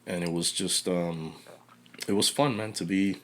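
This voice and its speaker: Guest man, thirties, low easygoing voice, hesitant, filler-heavy. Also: steady tone, unhurried. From Cuba, raised in Miami. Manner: laid-back, hesitant, lots of fillers. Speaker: podcast guest, small business owner. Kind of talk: casual conversation.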